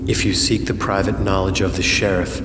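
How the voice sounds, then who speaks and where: A male actor playing a patient, grave member of a secret order in a fantasy game, speaking in a measured, formal, earnest way. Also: sits low, level tone, background noise, close to an everyday voice. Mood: grave advising. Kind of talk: fantasy game cutscene dialogue. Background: loud music.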